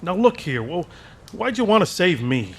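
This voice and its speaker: A man playing a desperate, wisecracking family man in a radio play, talking fast and wry. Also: sits high, very animated, a little hiss or hum. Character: desperate, wisecracking everyman husband. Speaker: radio actor. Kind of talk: 1940s radio acting.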